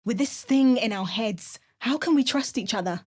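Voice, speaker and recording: A woman's voice: a little high, expressive, moderate pace. Woman doing a hammy mock-Cockney adventurer. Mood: wry. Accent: exaggerated mock Cockney. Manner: hammy, theatrical spell chants. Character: wandering female adventurer hero, wry and dramatic. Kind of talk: parody game voice lines.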